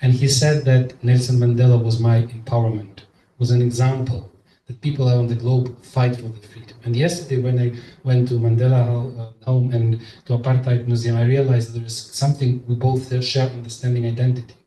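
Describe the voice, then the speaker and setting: A man from Ukraine with a Ukrainian accent, Russian his first language, speaking as a seminar panelist in a halting, emotional way. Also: medium pitch, flat delivery, dark tone. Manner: halting, emotional, self-correcting. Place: conference hall. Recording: echoey room from far off, faint noise.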